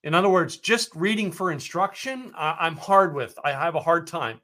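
An American man hosts a loose chat, rambling and restarting his sentences with plenty of fillers. His voice is very bright and a touch high for a man. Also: animated, normal speed. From United States. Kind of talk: casual conversation.

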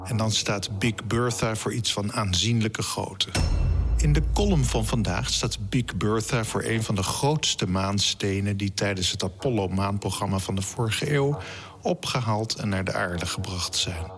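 A man's voice: lowish voice, measured pace, faint music behind. A man narrating a scripted science column in a wry, bookish manner. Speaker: astronomy podcast narrator.

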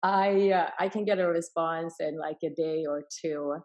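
A woman's voice: sits low, fairly even intonation, moderate pace, a little mellow. A woman from Sweden, born in Finland, who speaks English as a third language, explaining things casually as an interview guest. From Finland-born, raised in Sweden. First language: not English, which is a third language. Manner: informal, acts out quoted dialogue. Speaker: interview guest, property investor.

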